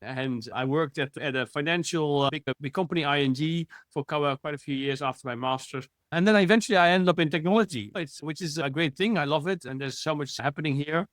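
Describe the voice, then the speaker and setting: Interview guest: man, animated and chatty, slightly high-pitched, dry close sound. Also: fast talker, hardly any pauses, dry recording. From Netherlands. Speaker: guest, futurist. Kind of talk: interview answers.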